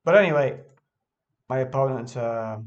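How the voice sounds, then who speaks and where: Man, non-native English speaker, mid-pitched voice, halting, self-correcting, lots of fillers, casual game review. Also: dull audio. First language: not English. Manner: hesitant, self-correcting, thinks aloud with many fillers. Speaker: chess vlogger reviewing his own game.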